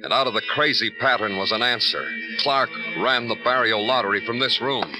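An actor playing a tough, brooding American police detective in a classic radio crime drama, terse and deliberate, narrating his own case. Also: mid-range voice, lightly acted, rough recording. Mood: brooding narration. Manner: terse, hard-boiled, deliberate. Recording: old radio recording, music behind.

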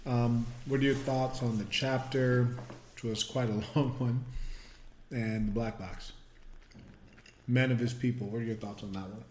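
A man's voice: mid-range voice, measured pace, long pauses, echoey room, slight background noise. A man chatting casually and candidly as host, talking about his own book. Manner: relaxed, candid, with casual profanity. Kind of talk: casual chat.

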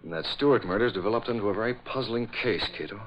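An actor playing a calm, urbane, resolute crime-fighting newspaperman in a 1940s radio drama. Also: fairly low pitch, lightly acted. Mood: thoughtful, resolute. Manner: measured, authoritative, thoughtful. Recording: dull vintage radio recording.

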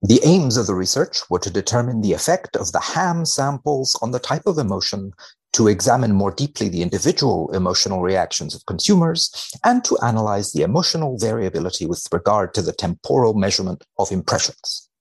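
A man's voice: on the low side, very animated, a little fast, slightly rough. A man speaking as a researcher guest, reading studies aloud and commenting with dry mock seriousness.

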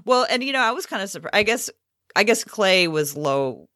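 A woman's voice: medium pitch, very animated, moderate pace, a bit bright, no room sound, studio quality. An American woman chatting casually about sports as a podcast co-host.

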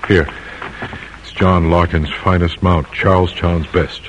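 An actor playing a steady colonial militia colonel, terse and businesslike, in an old-time radio drama. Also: male voice, low voice, unhurried. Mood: hushed readiness. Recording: muffled, noisy old radio recording.

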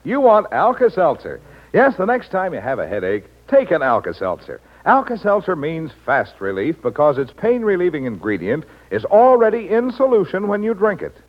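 A man's voice: sits high, wildly swooping pitch, normal speed. A man announcing a scripted vintage radio advertisement with emphatic, polished salesmanship. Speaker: commercial announcer. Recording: dull vintage radio broadcast.

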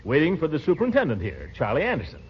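An actor playing a pompous, flustered middle-aged uncle in 1940s radio comedy style, blustery and full of hemming. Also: male voice, fairly high pitch, huge pitch swings, fast, husky, heavily acted. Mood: puzzled. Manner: blustery, hesitant with frequent hemming. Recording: dull, noisy old radio recording.

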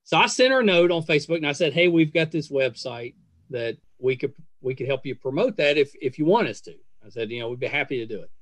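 A man's voice: fairly high pitch, lively intonation, fast, a bit bright, dry recording. Effusive male podcast host, dry close sound. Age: middle-aged. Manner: effusive, full of praise. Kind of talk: casual conversational interview.

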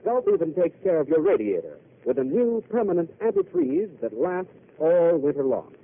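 Brief: 1930s radio pitchman, male, bouncy singsong delivery, tinny thin broadcast sound. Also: gravelly. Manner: lively salesman delivery, quick rehearsed patter. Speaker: radio announcer. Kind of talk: live radio commercial read.

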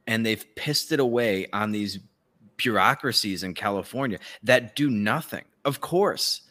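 Low-voiced man, profane and sardonic. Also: lively intonation, unhurried, sharp tone. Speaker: podcast co-host. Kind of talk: casual political commentary.

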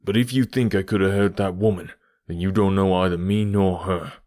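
A proud villain from a detective storybook, acted by a male narrator, with a fairly low voice. He speaks with bitter, forceful defiance and a wounded lover's intensity. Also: fairly even intonation, normal speed, lightly acted. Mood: grim defiance. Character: fierce, proud, lovelorn American male criminal.

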